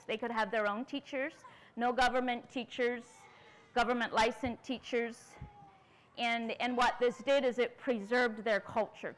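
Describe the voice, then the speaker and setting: A woman's voice: fairly high pitch, a bit bright, low-quality recording. A woman in her forties from the United States, speaking earnestly to a conference audience in a large tent and asking them questions as she tells her story. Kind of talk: lecture.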